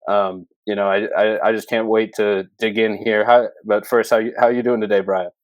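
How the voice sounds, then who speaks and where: Low-pitched man hosting a casual podcast. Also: level tone, moderate pace, no room sound. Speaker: podcast host. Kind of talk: casual interview with long introduction.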